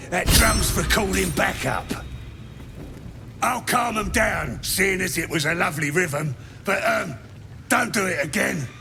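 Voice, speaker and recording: An actor playing a rough, cocky, crude goblin raider in a video game, his voice rasping. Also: on the high side, pushed and bright, exaggerated voice. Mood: cautioning. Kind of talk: video game character acting.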